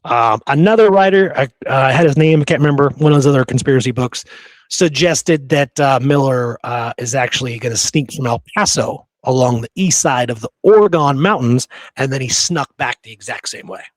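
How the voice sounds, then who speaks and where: A man's voice: medium pitch, a little fast, no echo. Blunt male podcast host, dry sound. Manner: opinionated, blunt, conversational. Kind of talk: casual history discussion.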